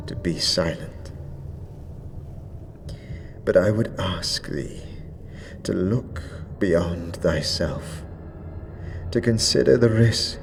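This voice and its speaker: A man's voice: deep voice, vocal fry, in a large hall, heavily acted. Hargrim, a man voicing a weary undead lord. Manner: archaic thou-speech, grave and slow. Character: ancient weary undead male ruler.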